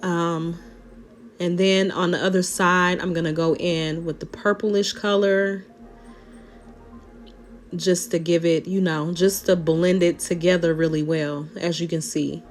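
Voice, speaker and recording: Slow, raspy-voiced woman. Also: steady tone. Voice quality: naturally deep voice. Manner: chatty, rambling, addresses viewers directly. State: raspy after a sinus infection. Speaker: nail tutorial creator. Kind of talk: casual voice-over tutorial. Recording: faint background music.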